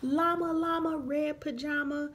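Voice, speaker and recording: A woman in her thirties reading a picture book aloud expressively for young children, pausing for explanatory asides. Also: sits high, steady tone, a bit bright, a little hiss or hum. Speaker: children's story reader. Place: small room at home, indoors.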